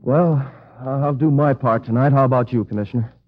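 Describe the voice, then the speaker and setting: A man's voice: medium pitch, steady tone, brisk, no echo, close to an everyday voice. A man playing a nervous, skeptical warehouse owner who is hesitant and easily rattled, in 1940s radio drama style. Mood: uneasy. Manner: agitated, hesitant, stammering. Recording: muffled, dry old-time radio recording.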